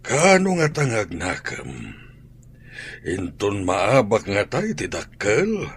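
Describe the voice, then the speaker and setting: A man playing a grumbling man of the household in an Ilocano radio drama, with a husky, rough voice. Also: fairly low pitch, wildly swooping pitch, takes it slowly, heavily acted. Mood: reproachful.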